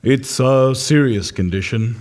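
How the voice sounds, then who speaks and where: A man playing a grave doctor in a comedy sketch, speaking in a solemn, deliberate, deadpan way. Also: mid-range voice, lightly acted. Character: grave male doctor delivering absurd bad news. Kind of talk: comedy audio sketch acting.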